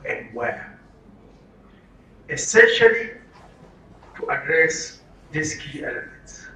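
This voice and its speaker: A man's voice: fairly high pitch, pushed and bright. A man with a Nigerian accent leading an interactive workshop lecture, quizzing listeners and repeating phrases in a didactic way. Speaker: trainer leading an orientation workshop for media workers. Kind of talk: interactive lecture with questions to the audience. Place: echoey room at a live workshop. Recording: roomy echo, distant mic, faint noise.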